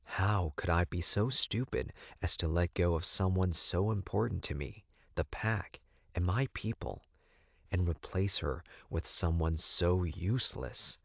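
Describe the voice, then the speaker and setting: Low, slow-paced male audiobook narrator. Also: vocal fry, a bit bright, no echo. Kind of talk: scripted first-person fiction narration. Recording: muffled, dull, boxy recording.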